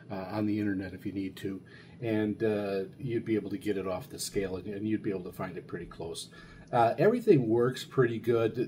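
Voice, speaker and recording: Male video reviewer, lowish mellow voice, unscripted and halting with stammered repeats, faint noise in the recording. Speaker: video host reviewing radio gear. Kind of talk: casual unscripted product review. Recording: steady background noise.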